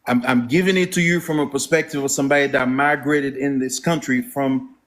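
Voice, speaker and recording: A man from the Congo whose first language is French, speaking with a Congolese French accent as a caller making his points in an earnest, measured, orderly way. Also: mid-range voice, fairly even intonation. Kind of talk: earnest call-in opinion.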